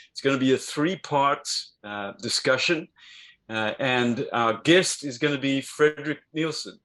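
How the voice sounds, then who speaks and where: A man hosting a business interview over a video call, speaking in a measured way with frequent fillers and restarts. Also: medium pitch, fairly bright tone. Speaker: interview host.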